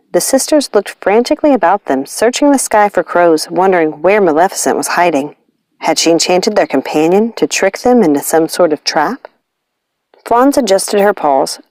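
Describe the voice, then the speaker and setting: A woman reading a fantasy storybook aloud in an expressive narrating style. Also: fairly low pitch, no echo. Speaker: audiobook reader.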